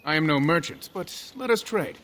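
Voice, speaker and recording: An actor voicing a reserved elven craftsman in a fantasy game, with formal, measured phrasing. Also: on the high side, lively intonation, a bit bright. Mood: reserved, courteous.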